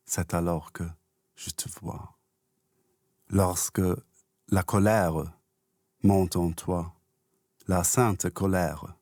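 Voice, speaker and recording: Male poetry reader, low register, extremely unhurried and deliberate delivery, dry sound. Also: a little mellow, no room sound. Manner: measured, deliberate phrasing with pauses. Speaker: poet reciting own poem. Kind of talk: slow poetry recitation.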